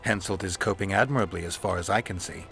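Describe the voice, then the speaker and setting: A stoic male monster hunter for a fantasy game. His voice is very deep, his delivery laconic and dry. He gives little away, with music playing underneath. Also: fast, gravelly, a bit bright. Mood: dry, guarded. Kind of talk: video game dialogue acting. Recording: music behind the voice.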